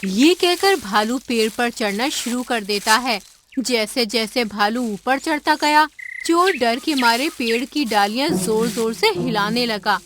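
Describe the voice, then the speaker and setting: A woman narrating a children's cartoon story in a deliberate storytelling manner. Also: fairly high pitch, animated, background noise. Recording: narration over loud music.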